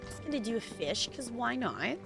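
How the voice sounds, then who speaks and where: A woman's voice: a little high, big pitch swings, moderate pace, creaky, music in the background. A woman game streamer giving casual, chatty live commentary and reacting to the game for her viewers.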